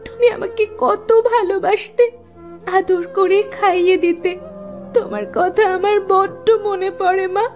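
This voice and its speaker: Very high-pitched, pleading little girl. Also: steady tone, a little slow, dull audio, faint music behind. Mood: tearful. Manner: plaintive, pleading. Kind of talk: Bengali animated cartoon story acting.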